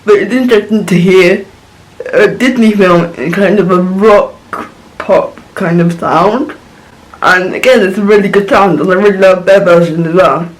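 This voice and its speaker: A man's voice: high voice, steady tone, normal speed, poor audio. A man vlogging a casual film reaction, rambling and searching for words as he gushes.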